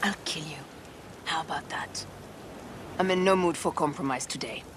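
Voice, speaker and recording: An actress playing a grim, vengeful assassin leader in a video game, speaking in a solemn, commanding, terse way. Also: female voice, low-pitched, moderate pace, very noisy, lightly acted. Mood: cold menace. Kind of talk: dramatic video game acting.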